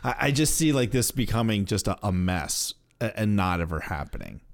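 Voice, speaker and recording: A man's voice: on the low side, animated, normal speed. A man co-hosting a podcast, giving casual opinions emphatically and often repeating himself. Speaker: podcast co-host.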